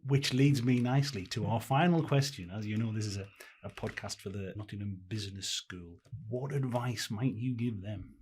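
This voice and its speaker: A man's voice: fairly low pitch, normal speed, hardly any pauses, a little mellow, a little hiss or hum. A man hosting a podcast who asks the guest short, friendly questions. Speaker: podcast host, interviewer. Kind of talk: interview questions.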